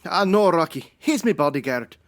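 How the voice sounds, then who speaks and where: A woman's voice: lowish voice, lively intonation, moderate pace, natural voice. A woman playing a shifty, flustered Scottish male swindler in a comedy audio drama, with a stammering, wheedling manner. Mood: nervous, ingratiating.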